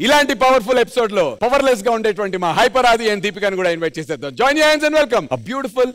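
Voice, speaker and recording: A man's voice: extremely high pitch, lively intonation, quick pace, fairly bright tone. A man with a Telugu Indian accent hosting a stage dance show, presenting energetically and bantering. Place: TV studio stage. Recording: clear background noise.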